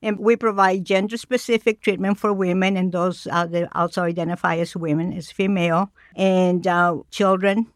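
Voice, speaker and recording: A middle-aged woman from Mexico speaking as a cultural educator in a radio interview, measured with frequent pauses. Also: fairly low pitch, steady tone, no echo, clean studio sound.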